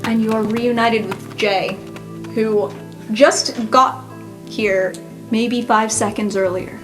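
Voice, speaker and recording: Female game master, slightly high voice, improvised narration over prominent music. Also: fairly even intonation, slow pace.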